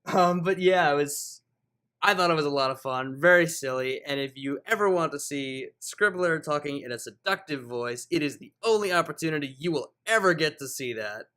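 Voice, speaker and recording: A man's voice: on the high side, expressive, moderate pace, bright, forceful tone, smooth. A man who reads fan fiction aloud and hosts his own channel. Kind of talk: dramatic scripted story narration, then casual outro. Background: music.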